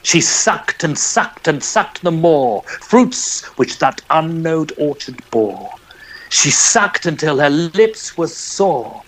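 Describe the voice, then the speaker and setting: A man narrating a poem dramatically, in a measured and expressive storyteller's manner. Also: fairly high pitch, slight background noise, poor audio.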